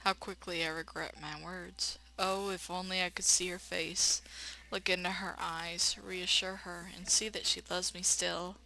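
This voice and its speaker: Bright-voiced woman narrating a game. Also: lowish voice, measured pace, vocal fry, poor audio. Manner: animated, chatty, folksy mild exclamations. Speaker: let's player. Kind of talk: game commentary mixed with dramatic read-aloud narration.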